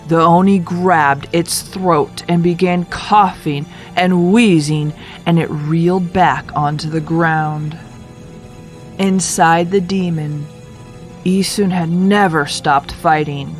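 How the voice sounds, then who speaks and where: A woman narrating a children's folktale as a read-aloud, with expressive, deliberate delivery. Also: low voice, faint music behind. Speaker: storyteller.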